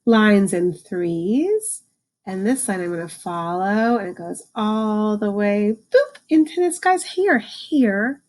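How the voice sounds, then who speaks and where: A woman reading a picture book aloud to children, adding informal explanations and slowing down for the book's lines. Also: mid-range voice, expressive, darkish tone. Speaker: read-aloud host, teacher for children.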